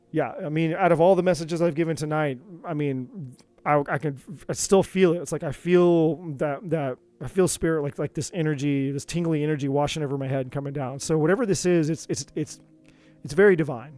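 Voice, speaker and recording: A man's voice: fairly high pitch, moderate pace, dark tone, no echo. Earnest man giving a tarot reading. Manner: earnest, repetitive, encouraging. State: voice going hoarse. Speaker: tarot reader.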